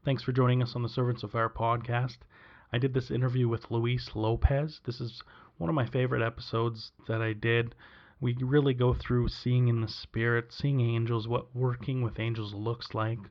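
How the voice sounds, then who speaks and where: Guy hosting a podcast, mid-pitched voice, laid back with uhs, dull muffled sound. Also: normal speed. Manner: relaxed, loose, with fillers. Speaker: podcast host. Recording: muffled lo-fi recording.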